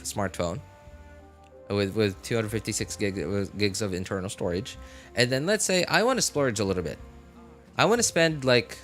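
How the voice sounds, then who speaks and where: A man's voice: mid-range voice, fairly bright tone. Casual male streamer over music. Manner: conversational, argues points with frequent check-in questions. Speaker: live game streamer. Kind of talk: casual rambling livestream chat.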